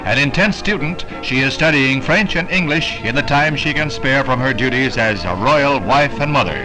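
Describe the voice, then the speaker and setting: A man's voice: medium pitch, moderate pace, poor audio. An American man narrating a scripted 1960s newsreel in a polished, declamatory announcer style. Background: prominent background music.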